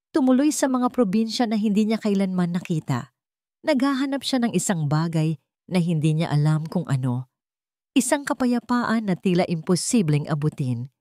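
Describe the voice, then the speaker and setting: A woman with a Filipino accent narrates a scripted story in a solemn, measured, dramatic way, pausing deliberately between phrases. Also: lowish voice, a little mellow, no room sound, studio quality. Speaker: audiobook narrator.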